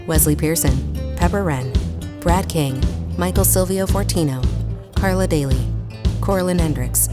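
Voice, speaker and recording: A woman reading scripted credits as an announcer. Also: sits low. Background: loud guitar music.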